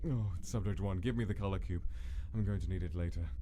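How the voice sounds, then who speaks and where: A man's voice: low-pitched, quick pace, noisy background, lightly acted. A man voicing a cold, bitter, weary skeleton scientist in a dramatic comic dub. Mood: curt, impatient. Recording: loud music underneath.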